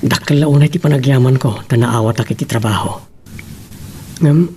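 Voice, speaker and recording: A man's voice: medium pitch, moderate pace, dark tone, distant mic, a little hiss or hum, lightly acted. A man with an Ilocano accent playing an earnest young suitor in a radio drama.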